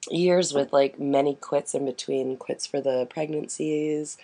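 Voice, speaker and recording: Woman radio host, gravelly and deep, joking banter with her guest. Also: steady tone, measured pace, mellow. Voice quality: deep smoky voice. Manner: bantering, laughing easily. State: deep raspy voice after quitting smoking. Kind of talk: casual live radio chat.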